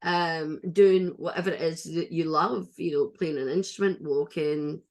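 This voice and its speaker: A woman's voice: sits low, steady tone, unhurried. A Scottish woman hosting a podcast interview, talking in an earnest, chatty way with frequent restarts. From Scotland. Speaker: podcast host. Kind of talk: casual interview chat.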